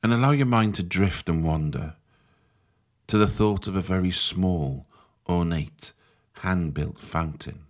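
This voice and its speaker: A man leading a guided meditation in a soothing, measured, instructive manner. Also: low voice.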